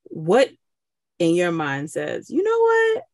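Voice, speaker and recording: A podcast host asking questions in a relaxed, chatty way, laughing easily. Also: medium pitch, takes it slowly, no echo, clean studio sound. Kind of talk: casual interview chat.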